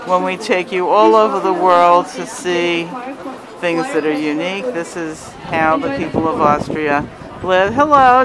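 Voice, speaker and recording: A woman hosting a cheerful, chatty walking tour of an outdoor Christmas market, filmed on a handheld phone camera. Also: low-pitched. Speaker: travel agent and host. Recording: busy crowd noise around. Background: crowd chatter.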